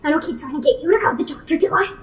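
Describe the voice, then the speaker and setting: A woman playing a gruff, snappish, contemptuous man who is turning into a rhinoceros, in a radio play. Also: high voice, lively intonation, very fast. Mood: irritated, snappish. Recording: roomy with faint noise and clicks.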